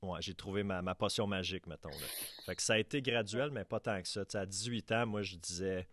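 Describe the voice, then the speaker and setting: A man's voice: low voice, brisk, fairly bright tone, dry recording, clean studio sound. A man in his thirties with a Québécois French accent, hosting a podcast and speaking in a plain, matter-of-fact way. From Quebec. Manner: plain, matter-of-fact, fillers like fait que. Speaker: podcast host. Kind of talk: casual conversational interview.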